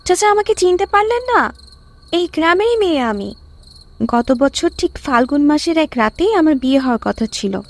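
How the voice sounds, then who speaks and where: A woman's voice: high-pitched, lively intonation, moderate pace. A woman playing the eerie ghost of a young village bride in a horror cartoon story, plaintive and dramatic. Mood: eerie, mournful.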